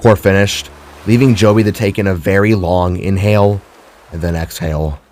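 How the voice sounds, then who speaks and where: A man's voice: deep voice, fairly even intonation, moderate pace, darkish tone, soft background music. A man reading scripted dramatic fiction as a narrator.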